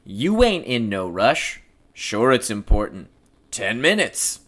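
Man playing streetwise 1910s New York cop, amorous, slangy speech, stage play reading, very animated pitch. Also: takes it slowly, full character voice. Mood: breezy. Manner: streetwise, ungrammatical period slang. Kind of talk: play table read.

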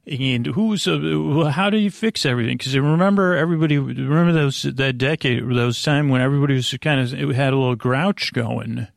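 A man hosting a bedtime podcast, rambling in an improvised, halting way with stammered, repeated word starts and a gravelly, creaky voice. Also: mid-range voice, dry recording, clean studio sound. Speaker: podcast host.

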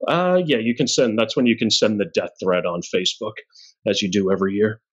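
A man in his forties with a low, rough-edged husky voice chats as a podcast host. He banters casually and jokes at his own expense. The audio is slightly dull and lo-fi. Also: a little fast. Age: 40s. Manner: self-deprecating, joking.

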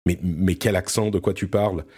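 Low-voiced man from France, hesitant podcaster. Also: steady tone, normal speed, dark tone, husky. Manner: hesitant, searching for words, restarting sentences. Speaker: podcast host. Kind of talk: casual pop culture review chat.

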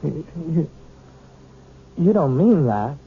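A man's voice: a little high, big pitch swings, slow pace, creaky, slightly rough, exaggerated voice. An actor playing an earnest, awkward young man, a piano student who stammers, in a 1970s radio drama. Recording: dull old radio broadcast.

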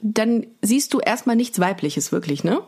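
A woman's voice: mid-range voice, expressive, moderate pace, dark tone, slightly rough. A woman hosts a casual, comedic podcast with a mid-range voice. She talks in a tongue-in-cheek way, as if chatting with a friend. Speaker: podcast host.

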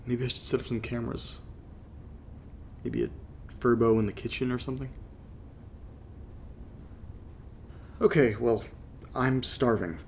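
An actor playing a troubled young man recording a halting, confessional audio diary for a horror drama, his voice slightly congested. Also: medium pitch, vocal fry, a little mellow, slight background noise, lightly acted. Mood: uneasy, wry.

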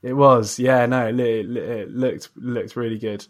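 A man hosts an interview show in a casual, chatty style. His pitch sits in the middle for a man, and his manner is effusive and quick to agree. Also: fairly even intonation. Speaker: podcast host and interviewer.

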